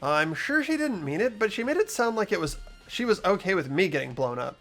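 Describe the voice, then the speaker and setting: A man's voice: high-pitched, sing-song, fairly quick, a bit bright, pitched above the performer's own voice, heavily acted. A man voicing an earnest, inquisitive teenage schoolboy who narrates his detective work in a visual novel game. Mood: uneasy, relieved. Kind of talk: acted visual novel dialogue and inner narration. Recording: music behind the voice.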